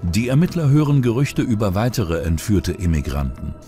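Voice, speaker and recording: A man's voice: lowish voice, sing-song, moderate pace. A man narrating a true-crime documentary from a script. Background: music bed.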